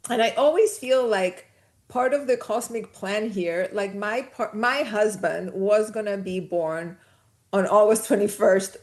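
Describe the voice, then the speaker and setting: A woman's voice: mid-range voice, a little mellow. Guest, woman from Chile, medium voice, conversational storyteller, laughs easily. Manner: chatty, storytelling, laughs mid-sentence. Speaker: podcast guest. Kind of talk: casual conversation.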